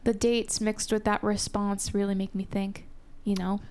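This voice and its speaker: A woman's voice: mid-range voice, little pitch movement, moderate pace, darkish tone. A woman talking through her theories casually as a reaction show co-host. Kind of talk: casual analytical discussion.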